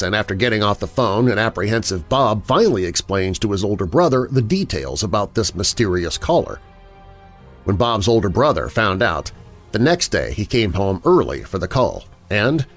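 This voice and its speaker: A man reading first-person scary stories as a narrator, in a dramatic, expressive storytelling manner. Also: fairly low pitch. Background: background music.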